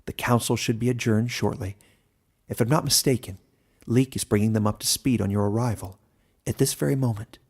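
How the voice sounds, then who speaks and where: Man voicing a calm, formal warrior woman. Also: on the low side, vocal fry, husky. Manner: measured, formal.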